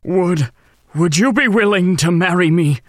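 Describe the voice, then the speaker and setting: An actor playing a lonely, gentle beast in love in a children's fairy tale, speaking hesitantly and full of self-doubt. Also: mid-range voice, dry recording, studio quality, close to an everyday voice. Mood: tender, pleading. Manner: halting, hesitant, pleading.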